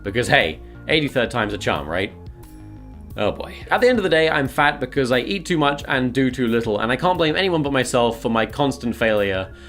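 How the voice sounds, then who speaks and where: A man's voice: medium pitch, sing-song, brisk, fairly bright tone. Self-deprecating man with music behind him. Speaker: video creator documenting his weight loss. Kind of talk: confessional vlog, partly scripted narration.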